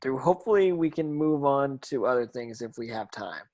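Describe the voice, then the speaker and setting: A man's voice: mid-range voice, animated, moderate pace, darkish tone, natural voice. A man reading the part of an earnest teenage boy wizard instructing his schoolmates in an amateur script read-through. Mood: earnest, instructive. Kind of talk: amateur table read of a screenplay.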